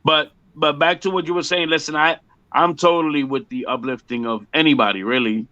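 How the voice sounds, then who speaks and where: A man's voice: a little high, steady tone, a little fast, sharp tone, dry recording. A man in his fifties, a music performer chatting casually in an interview, joking and repeating himself emphatically on muffled lo-fi audio. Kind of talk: casual one-on-one chat.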